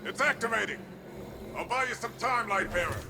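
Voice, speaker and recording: Urgent heroic soldier, high-pitched and gravelly. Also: male voice, lively intonation, normal speed, background noise. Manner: urgent, commanding. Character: heroic veteran cyber-soldier, urgent and self-sacrificing. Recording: loud music over voice.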